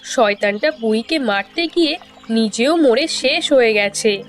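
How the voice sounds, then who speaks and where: A woman's voice: on the high side, lively intonation, unhurried. A woman voicing a concerned, impatient village woman in a cartoon fairy tale. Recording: background music under dialogue.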